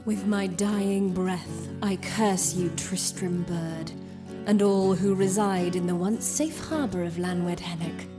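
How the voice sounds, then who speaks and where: A woman acts out a vengeful mermaid laying a dying curse in a folktale. Her delivery is slow, seething and incantatory, with clear music behind it. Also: on the low side. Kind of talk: theatrical folktale performance.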